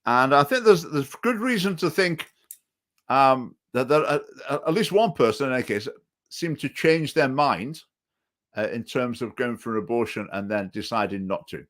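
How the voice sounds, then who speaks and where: A man's voice: medium pitch, lively intonation, moderate pace, fairly bright tone. A man hosting a talk show, speaking hesitantly with many fillers and restarts. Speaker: host. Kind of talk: political talk show chat.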